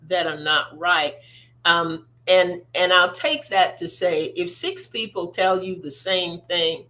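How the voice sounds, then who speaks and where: A woman's voice: lowish voice, fairly bright tone, poor audio. Earnest woman hosting a faith talk show. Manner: earnest, repeats words for emphasis. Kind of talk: faith-based discussion.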